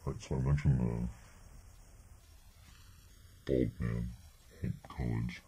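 A man in his twenties rambling casually through a vlog update, halting and often trailing off, recorded on a webcam at a desk. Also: a bass voice, level tone, warm tone, slightly rough. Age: 20s. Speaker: vlogger. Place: small bedroom indoors. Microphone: webcam at desk distance. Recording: noisy webcam audio.

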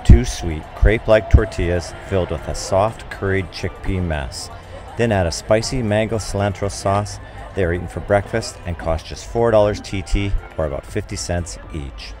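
A man's voice: low-pitched, normal speed. A man reading scripted narration for a travel video as a voice-over. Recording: voice-over mixed with clear music. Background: music.

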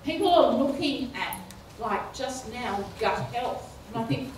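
A middle-aged woman with a slightly high voice, speaking slowly and haltingly on a panel, full of ums and restarts. She holds a handheld mic in a lecture hall, picked up from a distance with heavy room echo and faint background noise. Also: lively intonation. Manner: halting, many fillers and restarts, rising into laughing exclamations. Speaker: keynote speaker. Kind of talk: panel discussion.

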